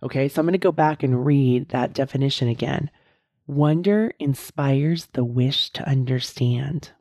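Middle-aged female podcast host, hoarse and husky, low mellow tone, close dry mic, earnest coaching delivery. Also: dry recording. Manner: earnest, encouraging, repeats key points slowly. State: hoarse, strained voice. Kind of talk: casual solo podcast talk.

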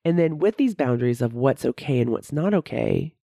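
A woman's voice: extremely low pitch, expressive, brisk, gravelly, dark tone, no echo, studio quality. A woman of about fifty with a head cold, hosting a solo podcast as a coach and talking conversationally, often tagging sentences with "right?". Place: recording studio.

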